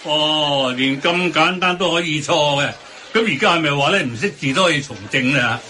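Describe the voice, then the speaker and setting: An actor as a grumbling Hong Kong boss in a radio skit, exclaiming and complaining indignantly. He has a forceful, fairly high voice over slight noise. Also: normal speed. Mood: scornful. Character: indignant, grumbling businessman railing at official waste. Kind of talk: Cantonese radio drama banter.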